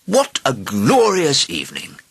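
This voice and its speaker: Guy doing a keen little storybook king, excitable, swoopy, bit raspy. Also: medium pitch, a little slow, dry recording, pitched above the performer's own voice, heavily acted. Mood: delighted. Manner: exclaiming, enthusiastic. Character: eager, rebellious, delighted short king.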